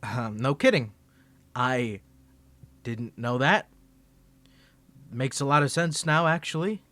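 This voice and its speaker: A man's voice: mid-range voice, takes it slowly, bright, forceful tone, dry recording. A man voicing a flustered young tsundere male student rival in an ASMR roleplay, teasing and defensive, stammering when caught off guard. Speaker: ASMR creator.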